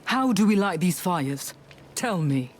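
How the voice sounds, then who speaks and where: Lowish-voiced, blunt warrior heroine. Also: female voice, very animated, unhurried, a little hiss or hum. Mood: urgent, challenging. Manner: terse, confrontational. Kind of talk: video game voice acting.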